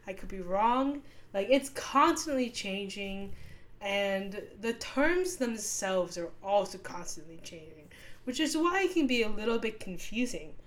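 A woman's voice: medium pitch, lively intonation, a little slow. Young woman podcaster, sore throat sick voice, rambly casual chat with lots of likes. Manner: rambling, with many fillers and restarts. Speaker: podcast host. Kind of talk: casual solo podcast talk.

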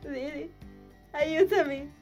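A woman playing an overwrought, absurdly dramatic young woman in a sitcom dub, loud and melodramatic. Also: sits high, slow pace, soft background music.

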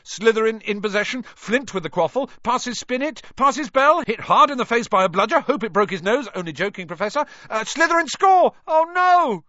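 A man voicing a cheeky teenage boy calling a sports match in rapid play-by-play. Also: extremely high pitch, animated, pushed and bright, no echo, dull audio, pitched above the performer's own voice, exaggerated voice. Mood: excited.

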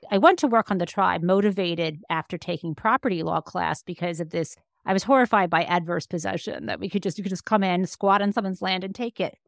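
A woman in her fifties with an American accent and a fairly low voice. She talks in an articulate, reflective way, telling stories about herself in a conversational interview. The sound is very dry, with no room echo. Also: brisk, gravelly, hardly any pauses. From Michigan, United States. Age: 50s. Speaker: interview guest, law professor and author. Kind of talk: conversational personal storytelling in an interview.

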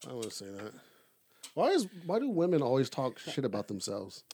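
A man's voice: mid-range voice, huge pitch swings, normal speed, darkish tone. A man co-hosting a casual podcast chat, laid-back and teasing, swearing casually. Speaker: podcast co-host.

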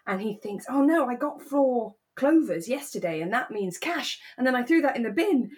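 A woman co-hosting a podcast, chatting casually and telling stories with animated asides. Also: fairly high pitch, normal speed. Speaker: podcast co-host. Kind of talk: relaxed casual chat recapping a radio drama.

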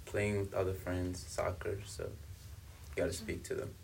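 A young man who grew up in South Africa speaking Swahili and Kibembe answers in a casual interview. His voice sits low. There is a slight noise in the room behind him. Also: flat delivery, moderate pace, gravelly. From South Africa, born in Congo. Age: young adult. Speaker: podcast guest, university student.

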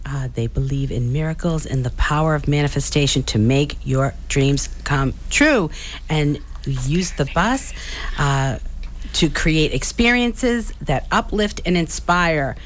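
A woman's voice: low voice, expressive, unhurried, slight background noise. A woman hosting a talk show, introducing and interviewing a guest in a conversational style. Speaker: radio talk show host.

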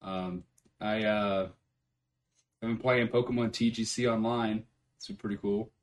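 Male podcast host, low-pitched, relaxed and profane, quick to laugh, home computer mic. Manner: laid-back, swears freely, laughs often. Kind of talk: casual chat.

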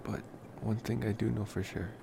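A man playing a tender, smitten young college vampire in an intimate ASMR roleplay, speaking softly and hesitantly with affectionate pauses. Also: fairly low pitch, little pitch movement, creaky, noisy background.

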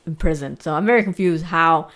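A woman with a slight Spanish-tinged accent talks through a true crime story in a casual, chatty way. She uses lots of fillers and small laughs. Her voice sits a bit low for a woman. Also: a little fast, a bit bright. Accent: Spanish-influenced. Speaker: podcast co-host telling the story.

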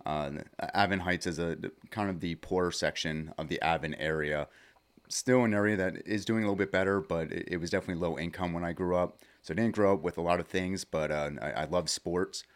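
A man's voice: low voice, fairly even intonation, fairly quick, clear, resonant tone. A man in his forties, a podcast guest recalling growing up, rambling with many restarts in casual studio chat. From Pennsylvania, USA. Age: 40s. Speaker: guest, future athletic director.

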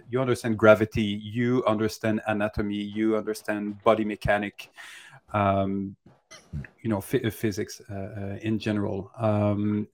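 Male mentor, lowish pitch, off-the-cuff Q&A advice with a halting, searching delivery. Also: a little mellow. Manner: hesitant, halting, thinking aloud. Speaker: animation mentor giving advice. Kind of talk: unscripted Q&A advice.

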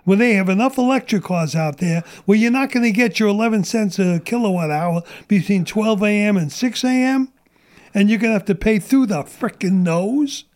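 A man's voice: high-pitched, moderate pace, a little mellow. A middle-aged man ranting bluntly and profanely in a casual podcast chat, losing his voice. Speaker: guest.

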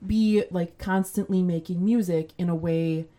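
Woman guest, low-ish voice, relaxed podcast chat, halting and modest. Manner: hesitant, self-deprecating, many restarts. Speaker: podcast guest, church musician and chemistry teacher. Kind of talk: casual chat.

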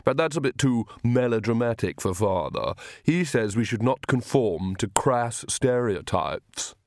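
A man's voice: mid-range voice, expressive, measured pace, no echo, studio quality. A man voicing a suave, self-assured young male vampire with an urbane, patiently condescending manner for an audiobook. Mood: light, urbane. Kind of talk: audiobook character voice.

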